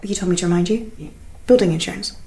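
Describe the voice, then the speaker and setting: An actress playing an uneasy, suspicious young wife in a naturalistic radio drama, questioning and insistent in a conversational way. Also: female voice, fairly low pitch, fast talker, gravelly, dark tone, low-quality recording. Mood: chatty, practical.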